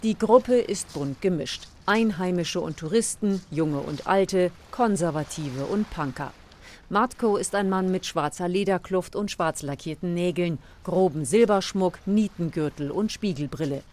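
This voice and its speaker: Lower-pitched female radio reporter. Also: a little slow, darkish tone. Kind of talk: scripted narration of a radio feature.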